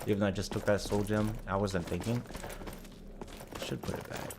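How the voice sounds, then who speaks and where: A man with a slightly raspy voice giving casual live game commentary as a streamer, rambling and correcting himself as he thinks aloud. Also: on the low side, little pitch movement, a little fast, noisy background.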